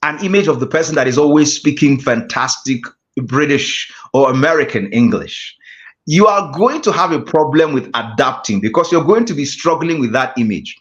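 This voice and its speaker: Nigerian man teaching, slightly high voice. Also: lively intonation. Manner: measured, repeats key phrases for emphasis. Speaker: guest speaking coach. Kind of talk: teaching a public-speaking class. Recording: muffled lo-fi audio.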